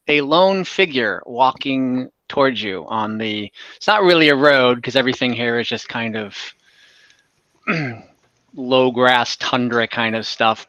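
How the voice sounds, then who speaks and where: A man working as game master, narrating an online tabletop game in a casual, halting, conversational way. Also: medium pitch, bright, forceful tone, no echo. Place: indoors, online call.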